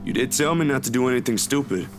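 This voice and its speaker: A man plays an easygoing, stubborn young man in a visual novel. His voice sits at a middle pitch, and music plays clearly behind him. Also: fast, close to an everyday voice. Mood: defensive. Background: guitar music.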